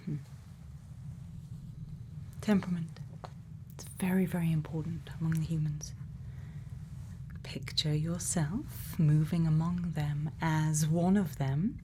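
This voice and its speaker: Noticeable ambient noise sits behind a woman's hushed ASMR voice. She slips between breathy whispers and a soft, lowish murmur, taking her time with long pauses. She plays a gentle scientist putting questions to someone. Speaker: ASMR performer.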